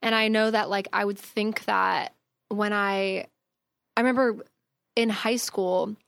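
A woman's voice: medium pitch, steady tone, measured pace, vocal fry, fairly bright tone, no echo, clean studio sound. A young woman talking casually as a podcast guest, chatty, with lots of fillers and laughs. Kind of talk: casual conversation.

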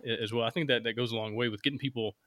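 Fast-talking Southern American man hosting. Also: medium pitch, fairly even intonation, a bit bright, dry recording. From Alabama. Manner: rambling, upbeat, laughs easily. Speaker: podcast host. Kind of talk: casual interview chat.

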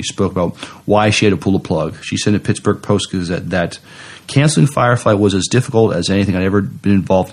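A man's voice: low-pitched, darkish tone. A man co-hosting a podcast, giving casual news commentary. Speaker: podcast co-host. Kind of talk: casual news commentary, some reading aloud.

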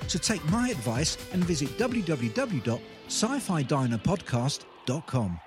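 A British man delivers a scripted endorsement with a playful, courteous touch. His voice sits a little high and swings expressively. Music plays clearly behind him. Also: very animated, unhurried. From Britain. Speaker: podcaster giving a promo endorsement. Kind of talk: scripted promo narration.

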